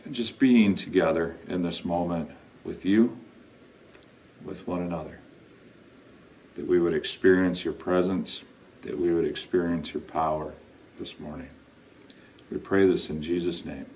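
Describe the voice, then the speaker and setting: A middle-aged man with a deep voice speaks as a pastor in informal sermon and prayer. His delivery is very slow, halting and deliberate. The microphone sits some way off in the room. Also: a little mellow, recorded from a distance, a little hiss or hum. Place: indoor lounge with couch and brick wall.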